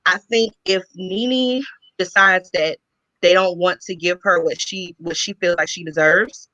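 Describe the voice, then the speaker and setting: A woman calling in to make an earnest, emphatic case. Her voice is bright and tense. It comes through as a dry, dull, lo-fi call. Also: fairly low pitch, fairly even intonation, measured pace, no echo. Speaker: guest commentator. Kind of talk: impassioned commentary.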